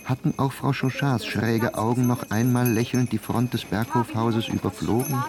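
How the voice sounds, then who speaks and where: A man reading scripted literary narration for a radio play. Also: lowish voice.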